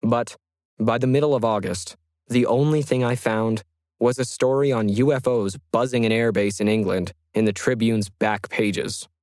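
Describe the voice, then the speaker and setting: A man narrates as a solitary 1950s ham radio hobbyist recounting his journal for an audio story. The delivery is measured, methodical and reflective. The pitch is medium and the sound is dry, with no room around it. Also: steady tone, darkish tone, no echo, lightly acted. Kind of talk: scripted first-person journal narration.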